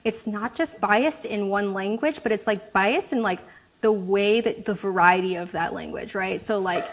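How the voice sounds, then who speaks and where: A woman's voice: medium pitch, normal speed. Hesitant woman answering questions. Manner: hesitant, many fillers and restarts. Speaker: speaker answering audience questions. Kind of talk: casual Q&A at a talk.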